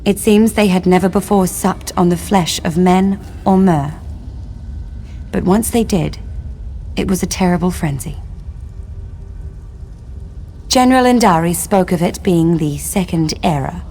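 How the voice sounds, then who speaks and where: An actress playing a long-imprisoned elven wife in a fantasy game, speaking formally and solemnly, with bitterness and longing. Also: female voice, fairly low pitch, moderate pace, mellow, a little hiss or hum, natural voice. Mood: grave, wistful. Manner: formal, archaic, solemn phrasing. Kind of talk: scripted fantasy game dialogue.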